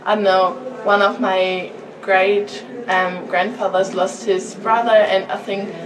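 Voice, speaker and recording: A young woman talks casually, often pausing with fillers. Her voice is mid-pitched. She is picked up from a distance with noise around. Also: level tone. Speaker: event participant.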